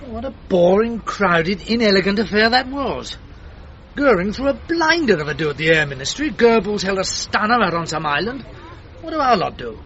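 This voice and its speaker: Posh english bank-owner knight, high voice swooping about, plummy and condescending, muffled radio drama. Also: male voice, very animated, moderate pace, fairly bright tone, exaggerated voice. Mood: scornful, blustering. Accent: upper-class English. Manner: plummy, condescending, jovially blustering. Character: pompous upper-class English knight, blustering bank owner. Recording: muffled lo-fi radio recording, faint noise.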